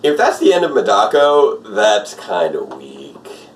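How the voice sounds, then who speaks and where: Animated man reacting live, distant mic. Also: on the low side, slow, a little hiss or hum. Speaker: host of a live reaction show. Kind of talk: casual live-reaction commentary. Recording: distant mic, TV show music behind. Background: television show playing with music.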